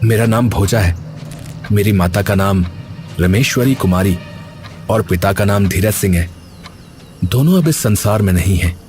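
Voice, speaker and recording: A man as a low-voiced, sincere village lad. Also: moderate pace, long pauses, a little mellow, slight background noise, lightly acted. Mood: earnest. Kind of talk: Hindi animated story dialogue.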